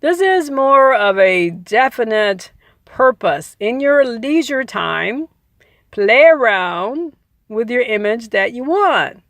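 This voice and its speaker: A woman's voice: on the high side, very animated, takes it slowly, no echo, studio quality. A woman reading a book aloud in an emphatic, instructive way, repeating key phrases and adding informal asides. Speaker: audiobook reader.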